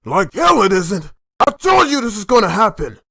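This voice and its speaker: A man's voice: sits high, sing-song, moderate pace, gravelly, bright, forceful tone, husky, no room sound, clean studio sound, full character voice. A man voicing a stern, desperate father who leads a group of survivors in a comic dub. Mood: urgent, angry.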